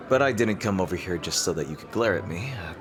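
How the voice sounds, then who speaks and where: A man playing a teasing rival king in an intimate dramatic roleplay, halting and smug yet easily flustered. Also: sits low. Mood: earnest, guarded. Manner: halting, teasing, with soft chuckles and pauses.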